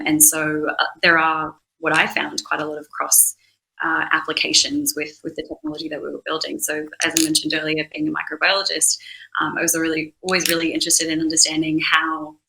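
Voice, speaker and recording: Low-pitched, creaky-voiced woman on a panel. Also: monotone, a little fast, pushed and bright, low-quality recording. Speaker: startup co-founder and microbiologist on a panel. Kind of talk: panel talk, explaining her work.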